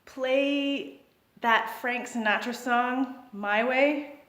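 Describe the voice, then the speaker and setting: A woman's voice: sits high, very slow, a bit bright, reverberant, pitched above the performer's own voice, full character voice. A woman doing a dreamy, laid-back party guy. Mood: mellow. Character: laid-back young man partying with loud music.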